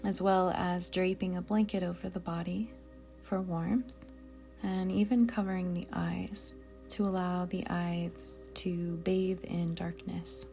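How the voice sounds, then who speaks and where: A woman guiding a yoga nidra meditation in a soft, breathy, calm and deliberate voice that often trails toward a whisper. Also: lowish voice, soft background music. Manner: calm, soothing, gentle, deliberate. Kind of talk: slow guided meditation narration.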